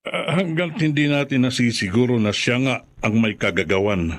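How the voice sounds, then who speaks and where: An actor playing an anxious older father-in-law in a radio drama, speaking haltingly and pressing for answers. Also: medium pitch, natural voice. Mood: grave, secretive. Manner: halting, insistent questioning.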